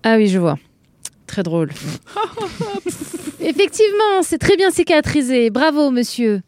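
A woman's voice: sits high, very animated, measured pace, darkish tone. A woman playing a young, earnest and smug anarchist country doctor in a comic audio drama. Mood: brisk, flustered. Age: young adult. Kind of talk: French comic audio fiction acting.

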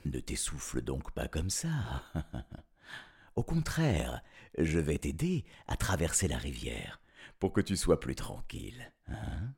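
A sly, smooth-tongued fox from a children's tale, played by a man. The voice is low and hushed, murmuring in a coaxing, falsely helpful way. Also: wildly swooping pitch, takes it slowly, full character voice. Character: sly, soft-spoken coaxing male fox.

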